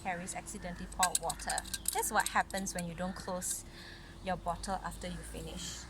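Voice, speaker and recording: A woman reading a fairy tale aloud to children in an instructive way, pausing for chatty comments and questions. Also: lowish voice, little pitch movement. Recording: noisy home recording.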